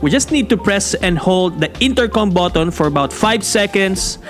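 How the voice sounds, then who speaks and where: A man's voice: sits high, lively intonation, normal speed, faint music behind. A man with a Filipino accent talking casually as a motorcycle vlogger, in a tutorial style. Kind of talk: casual bilingual tutorial talk.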